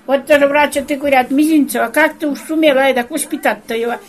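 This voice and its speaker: A woman's voice: sits high, steady tone, fast, soft background music. An older woman speaking in a Vologda village dialect, telling a rambling story in a field recording interview.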